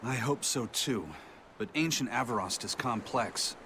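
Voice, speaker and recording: An actor playing a polite, thoughtful male companion in a video game, explaining things in a measured way. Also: medium pitch, pushed and bright, a little hiss or hum. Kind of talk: video game cutscene acting.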